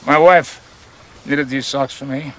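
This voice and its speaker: An actor playing an easygoing middle-aged outlaw mentor in a western series, with gravelly voice and folksy old-time phrasing. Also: male voice, medium pitch, steady tone, a little slow, fairly bright tone, slight background noise, natural voice. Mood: relaxed, genial. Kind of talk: screen acting, casual western dialogue. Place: outdoors by a river.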